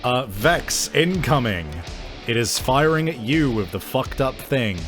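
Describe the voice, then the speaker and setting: A man's voice: mid-range voice, animated, unhurried, fairly bright tone, faint music behind. A man narrating a tabletop game as game master, casually improvising vivid descriptions and swearing now and then.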